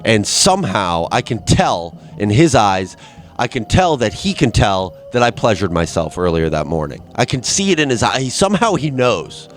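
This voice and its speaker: A young man with a slightly low voice rambles alone on a podcast, crude and self-mocking, stopping and restarting his sentences. His nose is stuffed up, and faint music plays underneath. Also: sing-song, normal speed. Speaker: podcast host. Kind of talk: rambling solo monologue.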